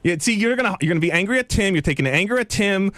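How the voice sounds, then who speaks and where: Loud nasal man, fast and needling. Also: high voice, animated, dry recording, clean studio sound. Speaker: radio show staffer. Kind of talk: heated on-air argument.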